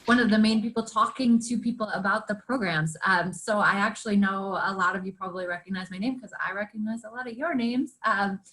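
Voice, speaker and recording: A woman's voice: medium pitch, a little fast, few pauses, fairly bright tone, poor audio. A woman from the program staff introducing herself on a video call, upbeat and chatty.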